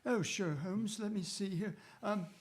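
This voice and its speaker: An actor playing a loyal gentleman companion in a radio drama, genial and earnest in his storytelling. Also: high voice, measured pace. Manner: reminiscing, earnest.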